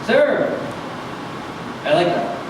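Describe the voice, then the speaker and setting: A man playing a demented, jovial older hobo in clown makeup, with a folksy, theatrical manner, in a staged script reading. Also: drawn-out words. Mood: creepy glee. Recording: noisy, echoey room from far off.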